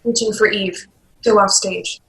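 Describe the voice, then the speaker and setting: A woman's voice: medium pitch, fairly even intonation, a little slow, fairly bright tone, low-quality recording. A woman reading stage directions as scripted narration for a play.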